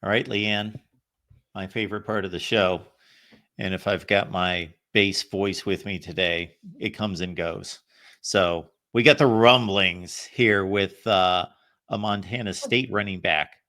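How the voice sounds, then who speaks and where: A man hosting a college football talk show, delivering transfer news in a steady, newsy way. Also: low-pitched, unhurried. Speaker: host. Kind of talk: sports talk commentary.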